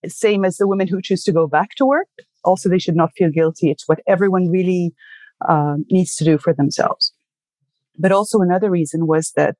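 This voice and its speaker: A woman's voice: fairly low pitch, warm tone, no echo. A woman in her sixties from Egypt, telling her life story in a conversational interview, thoughtful and with many fillers and self-corrections. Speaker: interview guest. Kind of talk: casual conversation.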